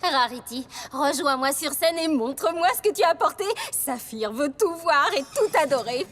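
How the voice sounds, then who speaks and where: A woman's voice: high-pitched, expressive, moderate pace, fairly bright tone. A woman voicing a flamboyant, self-important female pony pop star in a French cartoon dub, theatrical and commanding. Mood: pleased, grand.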